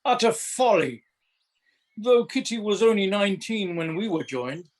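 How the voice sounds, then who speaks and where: A man's voice: high voice, sing-song, measured pace. A man playing a grumpy, pompous seventy-five-year-old Victorian playwright in a radio play, indignant and dryly witty. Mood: indignant, wry.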